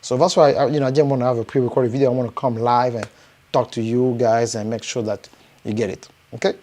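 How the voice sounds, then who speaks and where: A man with a French accent, a native French speaker, giving a live motivational pitch to viewers as a business coach, emphatic and repetitive, with frequent rising bursts. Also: steady tone, quick pace, dark tone. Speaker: business coach promoting his event.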